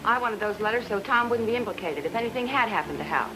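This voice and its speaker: A woman's voice: medium pitch, expressive, fast, close to an everyday voice, rough recording. An actress playing a distressed, defiant young woman in an old-time radio melodrama, emotional and urgent. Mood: defiant, upset. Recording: old radio recording with music.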